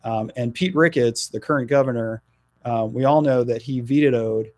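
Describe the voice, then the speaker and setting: A middle-aged man from New Jersey, a political candidate talking in a radio interview, earnest and halting with frequent fillers. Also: medium pitch, a little mellow, dry recording.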